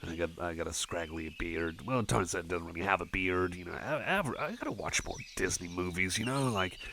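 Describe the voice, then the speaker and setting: Man playing a deep-voiced, shy cyborg pal. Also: animated, moderate pace, bright, forceful tone, a little hiss or hum, heavily acted. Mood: bashful, rambling. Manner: hesitant, rambling, stammering, easily sidetracked. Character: shy, rambling, friendly male cyborg chum. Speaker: ASMR roleplayer. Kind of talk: personal attention ASMR roleplay.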